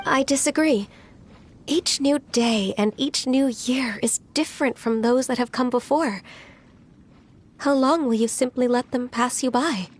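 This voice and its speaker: An actress playing a gentle, earnest young woman in a video game, polite and soft-mannered. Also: high voice, measured pace.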